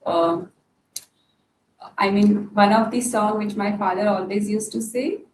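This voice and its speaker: A woman guest speaking in a halting way, with many pauses and fillers. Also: mid-range voice, steady tone. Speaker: podcast guest. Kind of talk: casual interview talk. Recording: distant, echoey room with noise.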